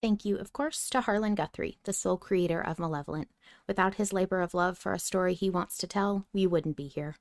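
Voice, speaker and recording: A woman's voice: fairly low pitch, fairly quick, no room sound. Woman podcaster in a very dry recording. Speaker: podcast host. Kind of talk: casual fan discussion.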